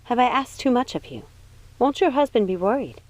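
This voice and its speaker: A woman's voice: on the high side, big pitch swings, fairly quick, dry recording, voice pushed up. A woman voicing a worried, protective young witch in an audiobook, speaking earnestly and with care. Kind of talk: audiobook character voice.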